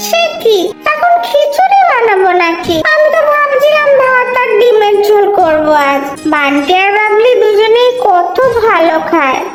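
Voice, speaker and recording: A woman's voice: very high-pitched, expressive, moderate pace, few pauses, reverberant, over loud music, low-quality recording. A woman voicing a practical, grumbling housewife and mother in a cartoon, fussing in a matter-of-fact way. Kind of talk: cartoon dialogue.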